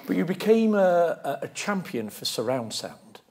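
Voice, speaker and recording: A man's voice: high-pitched, big pitch swings, moderate pace, a little mellow, a little hiss or hum. A middle-aged man hosting an on-stage interview, speaking emphatically and repeating key words. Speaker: interviewer, host. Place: lecture theatre stage.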